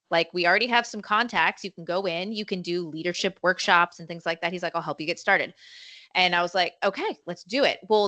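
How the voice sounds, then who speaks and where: A woman in her forties, telling her story as a podcast guest in a chatty, animated way. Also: on the low side, no echo. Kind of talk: casual storytelling interview.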